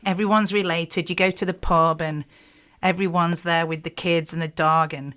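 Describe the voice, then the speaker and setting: A woman's voice: fairly low pitch, steady tone, moderate pace, a bit bright, smooth. A middle-aged woman with a Yorkshire accent, a singer-songwriter chatting in a radio interview with lots of "you know" and "like". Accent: Yorkshire English. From South Yorkshire, England.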